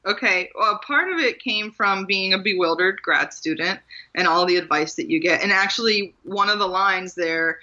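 Woman from Maryland, author guest, laughs easily, crisp bright tone, dull lo-fi line. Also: mid-range voice, moderate pace. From Maryland, USA. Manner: chatty, laughs often, many asides. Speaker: guest, author and memoir teacher. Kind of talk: casual conversational interview.

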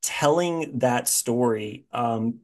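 A man hosting a casual interview, asking questions in a halting, think-aloud way. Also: medium pitch, a little mellow. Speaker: podcast host, interviewer.

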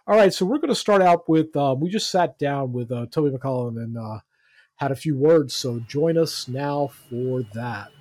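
Husky-voiced man hosting a fan podcast. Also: medium pitch, lively intonation, normal speed, darkish tone, dry recording. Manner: loose, filler-heavy, jokey. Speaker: podcast host.

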